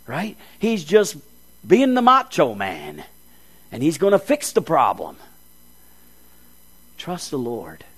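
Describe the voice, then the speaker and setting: A man preaching a storytelling sermon, acting out scenes with folksy asides and swinging from loud emphasis to hushed, quiet lines. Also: high voice.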